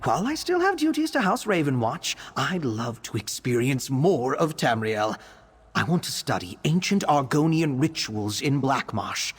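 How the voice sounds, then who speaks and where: A bookish young male vampire scholar speaks in a husky voice that rises and falls freely. He is courteous, earnest and precise, with formal wording suited to a fantasy game. A long reverberant tail follows his words. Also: medium pitch, sing-song, moderate pace. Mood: wistful, wry. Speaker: game character, arcane investigator. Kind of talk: scripted game character monologue.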